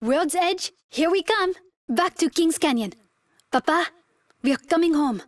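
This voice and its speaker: A woman playing a sweet, excitable young video game heroine with an eager, innocent manner. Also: extremely high pitch, a little slow, far from the mic. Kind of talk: video game character lines.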